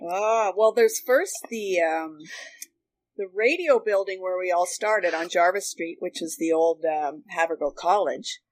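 A woman's voice: lowish voice, measured pace. A middle-aged woman chatting as a guest, telling anecdotes in a casual, wry way. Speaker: guest, former radio worker.

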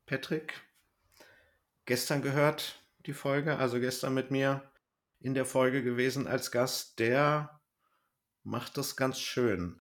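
A man's voice: mid-range voice, slow pace. A man hosting a podcast, chatting informally and a little ramblingly. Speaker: podcast host. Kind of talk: casual chat, podcast sign-off.

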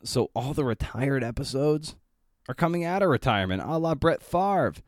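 A man's voice: medium pitch, lively intonation, normal speed, no room sound, clean studio sound. A man hosting a podcast, talking informally and chattily with playful asides. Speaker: podcast host and interviewer. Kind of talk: podcast intro and casual interview.

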